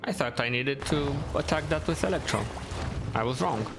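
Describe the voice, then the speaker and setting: Gravelly man doing casual gameplay commentary. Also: medium pitch, expressive, normal speed. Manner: laid-back, talking to himself and game characters. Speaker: gaming streamer. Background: video game music.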